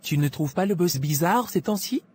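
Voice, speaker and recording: A woman's voice: fairly low pitch, expressive, normal speed, darkish tone, close to an everyday voice. A woman playing a gossipy, scheming pharmacy employee in a cartoon drama, insinuating and self-assured, with faint noise. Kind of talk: animated moral drama dialogue.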